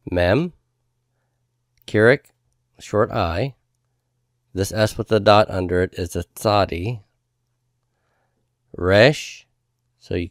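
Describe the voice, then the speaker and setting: A man's voice: low voice, gravelly, no echo, studio quality. A man teaching a homework review lesson, explaining step by step in a patient manner.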